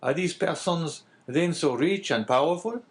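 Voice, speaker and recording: A man voicing a polite, composed priest in an audiobook, formal, measured and probing. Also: a little high, pitched above the performer's own voice, lightly acted. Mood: questioning.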